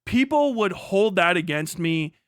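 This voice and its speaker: A man's voice: high-pitched, expressive, unhurried, clear, resonant tone, no room sound, clean studio sound. An American man giving casual, off-the-cuff livestream commentary in a rambling, sardonic, profane way. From United States. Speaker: live streamer, political commentator.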